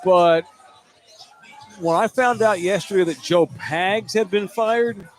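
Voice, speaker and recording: A man's voice: high voice, takes it slowly. A man hosting a casual sports podcast, joking crudely and reading out viewer comments. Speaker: podcast host. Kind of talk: casual sports talk with a co-host.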